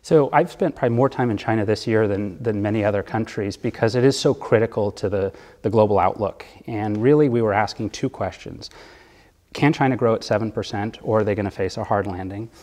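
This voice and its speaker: A man being interviewed as a bond fund manager, explaining economics in a measured way with occasional fillers. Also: lowish voice, a little mellow, big-room sound.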